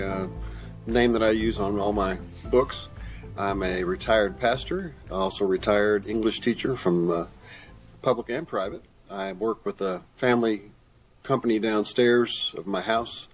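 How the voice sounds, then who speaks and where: Older male, fairly low pitch, guest author, conversational interview answers. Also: unhurried, soft background music. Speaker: guest author, retired pastor and teacher.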